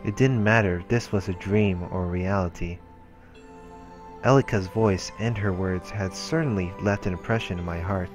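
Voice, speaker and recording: A man's voice: low voice, moderate pace, over music. A man reading visual novel narration aloud as a let's player, in an earnest, dramatic storytelling way.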